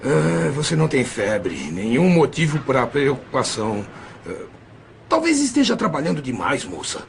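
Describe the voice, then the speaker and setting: A man playing a calm, kindly old pharmacist in an audio drama, hesitant and paternal in manner. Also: medium pitch, creaky, slight background noise. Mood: kindly reassuring. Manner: hesitant, gentle, with drawn-out interjections.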